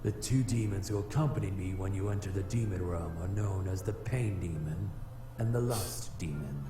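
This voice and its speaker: An actor playing an aloof, proud flame demon in a visual novel game, speaking formally and easily flustered. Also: male voice, low-pitched, natural voice. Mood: solemn, guarded. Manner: formal, measured, haughty wording. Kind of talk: scripted visual novel character acting. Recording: echoey voice over background music.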